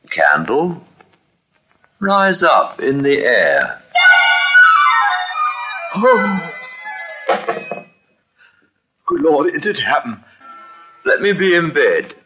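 A man's voice: mid-range voice, pitched above the performer's own voice, low-quality recording. A man playing a timid, bewildered clerk who mutters hesitantly to himself in a vintage radio drama. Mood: startled, commanding. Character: timid, bewildered male clerk testing his miraculous powers.

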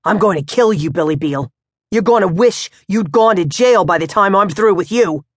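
A woman voicing a hot-tempered, blustering middle-aged father who shouts and curses. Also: a little high, expressive, unhurried, dry recording, studio quality, voice pushed up. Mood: enraged yelling. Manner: shouting, cursing, explosive.

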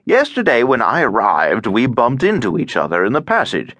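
A man's voice: mid-range voice, wildly swooping pitch, normal speed, a bit bright, dry recording, pitched above the performer's own voice. A man voicing a cheerful, tiresome, garrulous elderly Russian émigré in an audiobook, droning on with over-familiar chattiness. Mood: cheerful, rambling. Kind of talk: audiobook character acting.

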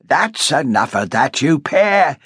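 A man's voice: high-pitched, sing-song, unhurried, no room sound, studio quality, voice pushed up, full character voice. A man voicing a stout, bossy middle-aged innkeeper's wife, blunt and scolding in earthy dialect.

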